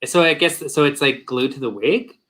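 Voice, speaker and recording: A man co-hosting a casual review chat, earnest and halting, losing his voice. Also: medium pitch, a bit bright. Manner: earnest, halting, many "um" and "uh" restarts. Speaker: podcast co-host.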